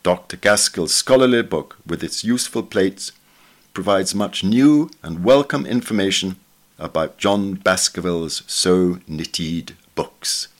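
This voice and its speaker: A man reading a literary article aloud in a measured, formal manner, as scripted podcast narration. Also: deep voice, lively intonation. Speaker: reader of a journal article for a podcast.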